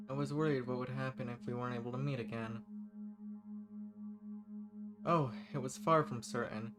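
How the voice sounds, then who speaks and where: A man's voice: medium pitch, natural voice. A man voicing an ancient banished villain in an intimate audio roleplay, flirtatious and condescending, with smug, formal, teasing phrasing. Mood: pleased, relieved.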